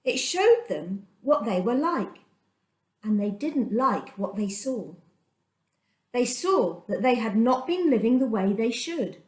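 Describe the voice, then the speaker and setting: Expressive woman storyteller, unhurried, lively pitch. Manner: dramatic, deliberate phrasing with emphasis. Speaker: children's storyteller. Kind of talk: expressive read-aloud of a children's Bible story. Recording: echoey room.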